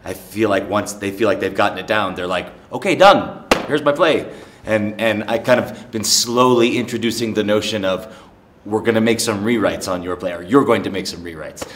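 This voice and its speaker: A man's voice: lowish voice, normal speed, slight background noise. A man talking informally in an interview as a writing mentor. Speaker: playwriting mentor.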